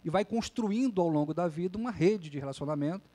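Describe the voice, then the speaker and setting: A male lawyer delivers a public talk in a relaxed, conversational way, often checking in with his listeners through short tag questions. His voice sits a little high and has a soft, mellow tone. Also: fast. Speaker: lawyer and lecturer. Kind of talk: public lecture.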